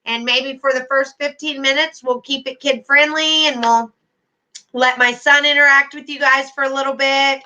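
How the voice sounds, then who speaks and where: A woman's voice: high voice, fairly even intonation, moderate pace, pushed and bright, lo-fi sound. A middle-aged woman hosting a casual livestream, chatting to viewers by name with endearments. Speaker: YouTube livestream host.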